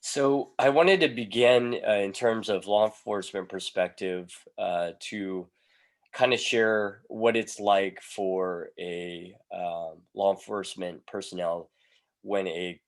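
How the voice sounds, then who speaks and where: A man, a police sergeant giving a presentation over a video call, sounding congested from a cold and pausing often with ums. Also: low voice, fairly even intonation. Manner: hesitant, many ums between phrases.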